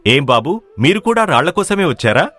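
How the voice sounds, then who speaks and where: A man's voice: a little high, very animated, a little fast, pushed and bright, heavily acted. A man playing a humble, hopeful, long-struggling village stone hunter who talks deferentially in a moral story. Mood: humble, resigned. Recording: music playing behind the voice.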